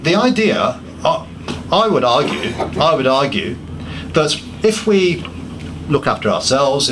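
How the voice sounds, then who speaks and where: A man's voice: medium pitch, background noise. A man giving a public talk, listing points emphatically and often restarting his sentences with self-deprecating asides. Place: pub function room.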